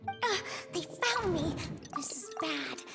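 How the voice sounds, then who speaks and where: A woman's voice: very high voice, lively intonation, slow, vocal fry, over music, full character voice. An actress voicing an anxious small female fugitive in a game, flustered and breathless. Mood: panicked. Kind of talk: dramatic game voice acting.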